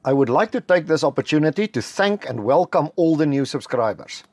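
A middle-aged South African man with an Afrikaans background, narrating a homestead building vlog in a measured, matter-of-fact voice-over. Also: a little high, lively intonation, darkish tone, dry recording. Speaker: homestead vlogger. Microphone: close voice-over mic.

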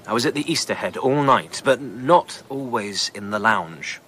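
An actor playing a sly, flippant gigolo in a radio drama, with a sardonic manner. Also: male voice, medium pitch, expressive, unhurried, sharp tone, a little hiss or hum. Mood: defensive. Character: sly, flippant male charmer, a kept gigolo.

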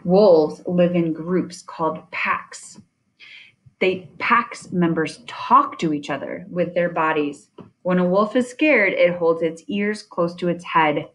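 A woman reading a children's nonfiction picture book aloud in a deliberate way, with chatty asides. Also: fairly low pitch, a little hiss or hum, poor audio. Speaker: reader of children's science book.

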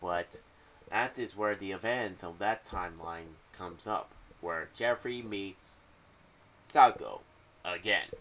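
A young man giving unscripted, rambling commentary in a halting, meandering way, speaking into a boom-arm microphone in a small room at home. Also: a bit bright. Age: young adult. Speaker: video creator sharing fan theories. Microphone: boom-arm microphone with pop filter, headphones. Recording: clearly noisy home recording.

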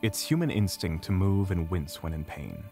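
Deep-voiced, expressive scholarly wizard played by a man. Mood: grave, analytical. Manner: measured, explanatory, dry. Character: scholarly earth mage, composed, dryly self-assured wizard. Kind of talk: audio drama acting. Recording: music playing behind. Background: background music.

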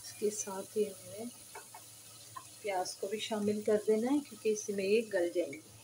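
A woman's voice: mid-range voice, steady tone, normal speed, very noisy. A woman hosting a cooking video, giving plain step-by-step recipe instructions to the viewer. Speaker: cooking channel host.

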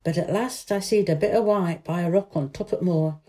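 A woman voicing a friendly, gentle country boy in a storybook, with a Yorkshire accent and homely dialect. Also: on the low side, brisk, close to an everyday voice. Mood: animated.

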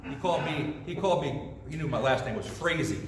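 A man's voice: fairly high pitch, lively intonation, a little fast, a crowd in the background. A middle-aged man preaching a storytelling sermon in a church sanctuary, gesturing with both hands as he speaks. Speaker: pastor. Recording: distant echoey sanctuary sound.

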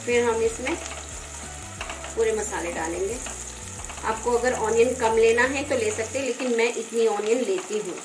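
A woman talking through a home cooking recipe step by step, in a gentle, conversational way. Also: on the high side, moderate pace, pauses often. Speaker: home cook. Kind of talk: cooking demonstration voice-over. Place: home kitchen. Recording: roomy kitchen, frying noise. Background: sizzling frying pan.

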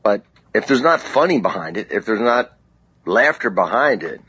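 A plain-spoken, opinionated man with a husky voice, chatting casually as a comedian guest in an interview. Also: fairly low pitch, very animated, moderate pace, a bit bright.

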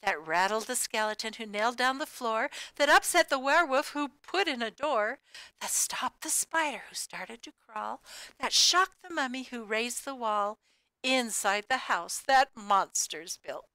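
A woman reading a rhyming picture book aloud to children in an expressive, sing-song way with emphatic key words. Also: fairly high pitch, measured pace, clean tone, no echo, poor audio. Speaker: storybook reader.

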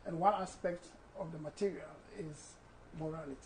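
A Ghanaian man in his sixties, a guest author speaking in a measured, explanatory way in a studio interview on a lapel mic. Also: a little high, a little mellow, slight background noise, low-quality recording. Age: 60s.

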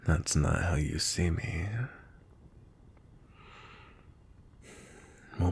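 A man playing a cold, grumpy vampire knight captain in an intimate ASMR roleplay, with a breathy, husky voice and a brooding manner. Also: deep voice, exaggerated voice. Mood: guarded, teasing menace. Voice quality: breathy, husky, often near whisper.

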